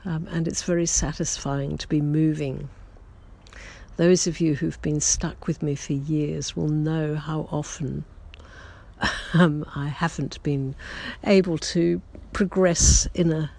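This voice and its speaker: A writer speaks slowly in a relaxed, reflective audio diary, pausing often and correcting themselves as they go. The voice is gravelly with some vocal fry and a touch of hoarseness left over from a cold. The delivery stays gentle and unhurried, as if addressing longtime listeners. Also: mid-range voice. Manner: halting and gentle, with many ums and self-corrections. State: recovering from a lost voice, slightly hoarse. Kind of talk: slow reflective personal chat to listeners.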